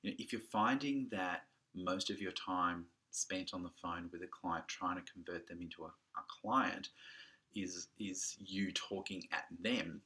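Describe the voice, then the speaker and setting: Male coach, very deep pitch, crisp forceful tone, emphatic, conversational, instructional, direct address. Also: measured pace. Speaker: photography business coach. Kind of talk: informal instructional talk.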